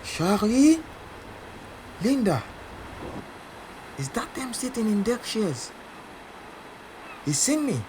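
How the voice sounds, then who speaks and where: An actor playing a proud, volatile Senegalese champion boxer, wounded underneath, confiding in an audio drama. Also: male voice, high-pitched, big pitch swings, takes it slowly, pauses often, a little mellow, a little hiss or hum, full character voice, rough recording. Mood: agitated, angry. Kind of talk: audio drama acting with confiding first-person narration.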